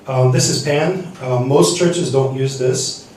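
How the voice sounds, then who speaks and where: A man teaching a seminar on church sound desks, speaking haltingly with many restarts and fillers. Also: medium pitch, a little mellow, slight background noise. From United States. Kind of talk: teaching sound-desk basics. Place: church hall. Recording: echoey hall from far off.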